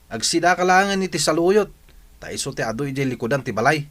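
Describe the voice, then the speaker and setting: A man's voice: fairly high pitch, lively intonation, fast talker, fairly bright tone. A man with an Ilocano accent playing a defensive, grumbling young husband in a radio drama. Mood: irritated.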